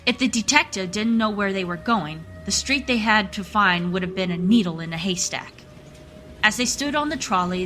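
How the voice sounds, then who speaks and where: A woman's voice: medium pitch, sharp tone, a little hiss or hum. A woman narrator reading scripted storytelling narration for a radio play.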